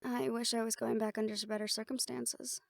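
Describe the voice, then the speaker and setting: A woman's voice: on the high side, flat delivery, brisk, vocal fry, no room sound, studio quality. A woman playing a confident, playful siren-banshee warrior in a conversational audio roleplay, talking intimately to the listener. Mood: worried, pensive.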